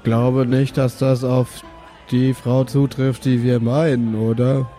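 Mellow, slow-talking man in a tabletop game. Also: medium pitch, level tone, faint music behind. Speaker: player in a tabletop role-playing game. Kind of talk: improvised in-character chat.

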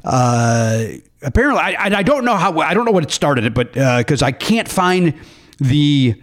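Male podcast host, profane, joking, lively pitch. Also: moderate pace. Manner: jokey, profane, mock radio-DJ patter. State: says he is losing his voice. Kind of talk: casual comedic banter.

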